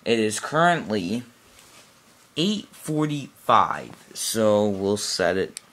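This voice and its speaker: A man's voice: on the low side, sing-song, slow, fairly bright tone. A man giving an informal, unscripted how-to demonstration in a matter-of-fact, instructional way, recorded indoors on a handheld camera. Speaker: product demonstrator. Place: small cluttered room indoors.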